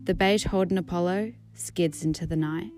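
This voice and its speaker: An Australian woman giving a dramatic poetry reading in a deliberate, deadpan manner. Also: on the low side. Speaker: guest poetry reader. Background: plucked guitar music.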